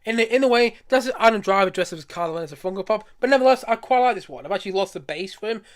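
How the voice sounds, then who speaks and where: A man with a high voice talks at a rapid clip as he hosts a casual live stream. He is enthusiastic and rambles along, circling back to repeat his points. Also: lively intonation. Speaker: streamer showing a collection.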